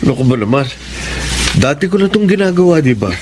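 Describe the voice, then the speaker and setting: A man's voice: on the high side, sing-song, fast talker, noisy background. A man acting a kind, attentive brother-in-law in a Filipino radio drama, speaking earnestly and reassuringly with a Filipino accent and occasional English phrases. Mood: friendly. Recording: radio drama with music underneath.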